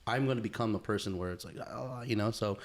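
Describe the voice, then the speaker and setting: A man in his thirties with a slightly low voice. He co-hosts a podcast in a loose, casual chat style, swearing freely and repeating phrases to drive points home. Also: fast. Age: 30s. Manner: profane, informal, repeats phrases for emphasis. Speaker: podcast co-host.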